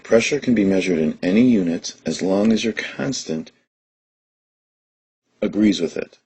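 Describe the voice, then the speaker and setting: Deep husky man teaching chemistry. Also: unhurried. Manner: steady, methodical, talks through steps. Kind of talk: recorded lesson explanation.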